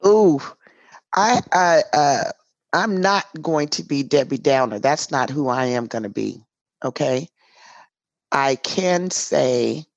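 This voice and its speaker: A hoarse woman co-hosting a casual podcast chat, speaking emphatically and tossing in folksy asides like "girl" and "honey". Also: low-pitched, no room sound, dull audio. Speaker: podcast co-host. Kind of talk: casual chat between sisters. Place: home in a city in Washington State.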